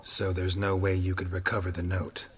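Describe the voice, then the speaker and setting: A man playing a stoic, terse monster hunter in a video game, with a clipped, deadpan delivery. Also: low voice, normal speed, slight background noise, lightly acted. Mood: grim, matter-of-fact. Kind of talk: video game character acting.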